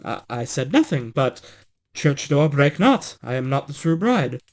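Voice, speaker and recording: A man voicing a gentle, long-suffering fairy-tale princess, earnest and formal in speech. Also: medium pitch, very animated, measured pace. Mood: meek, hesitant. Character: Maid Maleen, gentle long-suffering princess and true bride.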